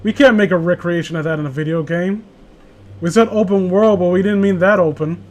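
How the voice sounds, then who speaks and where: A man's voice: high-pitched, fairly quick, a little mellow. A man reading a story aloud casually with a friend, joking, swearing and breaking off for sarcastic asides. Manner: sarcastic, profane, interrupts own reading with asides.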